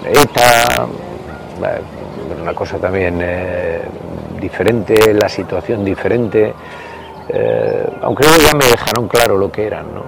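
Man, 60s, interview guest, halting storytelling, loud noise behind. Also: sits low, expressive, creaky. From Basque Country. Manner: hesitant, many pauses and restarts, casual swearing. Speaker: interview guest, party member.

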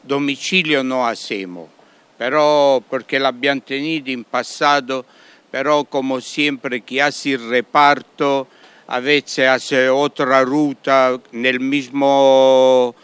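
Slow Italian man with a smooth voice. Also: mid-range voice, fairly even intonation, fairly bright tone, dry recording. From Italy. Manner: halting, mixes Italian words into his Spanish. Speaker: restaurant owner. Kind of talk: radio interview.

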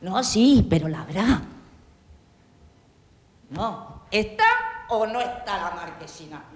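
A woman's voice: medium pitch, expressive, takes it slowly, a little mellow, big-room sound. A woman from Catalonia, a town councillor, giving a heated, sarcastic speech full of rhetorical questions in a council debate. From Catalonia, Spain.

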